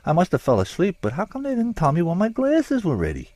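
A man's voice: sits high, huge pitch swings, fast talker, darkish tone, dry recording, pitched above the performer's own voice, full character voice. A man voicing a nervous, flustered middle-aged male burglar in an audiobook, jumpy and whining as he protests his innocence. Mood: protesting. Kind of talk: audiobook character voice.

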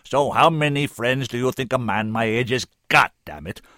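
Old senator for a story reading, performed by a man: very low, swinging voice, deliberate, weary authority with grave kindness. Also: very animated, no echo, clean studio sound. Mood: thundering, commanding. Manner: slow, deliberate, thundering when roused.

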